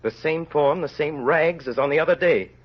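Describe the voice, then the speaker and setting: A man narrating a story in old-time radio style, with solemn, theatrical, deliberate phrasing. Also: fairly high pitch, slightly rough. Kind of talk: 1930s radio dramatic storytelling. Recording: dull lo-fi old radio recording.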